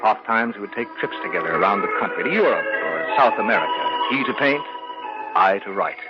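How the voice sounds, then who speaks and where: Vintage radio drama narrator: reflective male writer played by a man, low, measured and theatrical, over prominent music, dull recording. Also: lively intonation, natural voice. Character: reflective male writer recounting an eerie tale.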